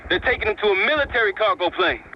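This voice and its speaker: An actor playing a no-nonsense military lookout in a video game, clipped and businesslike. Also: male voice, sits high, lively intonation, fast, muffled recording. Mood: brisk, focused. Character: no-nonsense military squad lookout giving orders. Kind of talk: video game cutscene acting. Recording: very loud aircraft noise behind.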